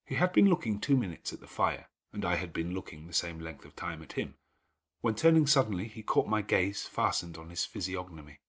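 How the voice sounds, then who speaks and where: Guy reading a classic audiobook, deepish raspy voice, formal, expressive, measured delivery. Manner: measured, formal, dramatic phrasing. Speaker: audiobook narrator, actor. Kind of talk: scripted literary narration, expressive.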